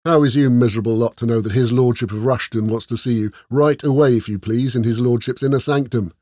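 A man voicing a loud, grumbling army sergeant with rough grammar and a barking manner in an audiobook. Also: medium pitch, fairly even intonation, quick pace, dry recording, studio quality, natural voice. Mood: gruff, grudging. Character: bellowing, grumbling male army sergeant, rough-spoken bully.

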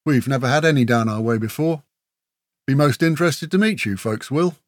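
A man's voice: mid-range voice, fairly quick, dry recording, clean studio sound, pitched above the performer's own voice, natural voice. A man voicing a chatty, folksy warrior with a breezy, teasing humour in a fantasy audiobook. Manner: folksy, matey, talkative, with tag questions. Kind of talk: fantasy audiobook character dialogue.

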